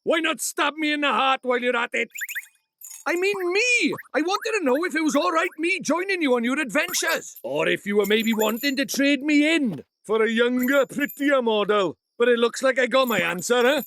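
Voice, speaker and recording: An actor voicing a small, cheeky, bossy fairy sidekick with a Welsh accent in a fantasy game. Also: male voice, extremely high pitch, lively intonation, normal speed, sharp tone, heavily acted. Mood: wounded, indignant. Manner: brash, teasing, colloquial, theatrical. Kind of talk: animated fantasy game voice acting.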